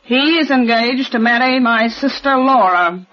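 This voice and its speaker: A woman's voice: fairly high pitch, measured pace, faint music behind, natural voice. An actress in 1940s radio style playing a formal, insistent wealthy woman of forty. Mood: tense. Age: 40s. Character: tense, proper wealthy eldest daughter. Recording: old lo-fi radio recording.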